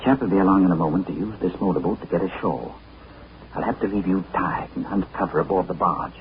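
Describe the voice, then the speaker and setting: An actor playing a cool, witty adventurer captain who talks in a breezy, unflappable way with dry wit. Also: male voice, sits low, quick pace, music in the background, close to an everyday voice. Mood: urgent, hushed. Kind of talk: 1940s radio acting. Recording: tinny, hissy 1940s radio broadcast.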